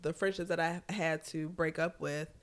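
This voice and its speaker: A woman's voice: low-pitched, steady tone, moderate pace. A woman, co-hosting a podcast in candid, profane casual chat, her voice congested. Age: 30s. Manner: candid, profane, laughs often. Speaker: podcast co-host.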